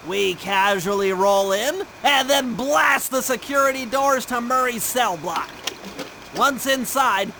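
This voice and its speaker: A man's voice: extremely high pitch, background noise. A man voicing a nerdy young turtle tech genius in a video game, with a thin nasal voice and a precise, wordy, anxious manner. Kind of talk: video game cartoon voice acting. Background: music.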